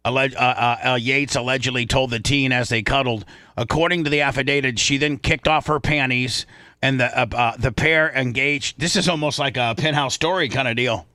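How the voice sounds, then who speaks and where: A man's voice: medium pitch, steady tone, a little fast, bright, forceful tone, no room sound. An American man hosting a comic talk radio show, bantering and reading news aloud, often stumbling and repeating words.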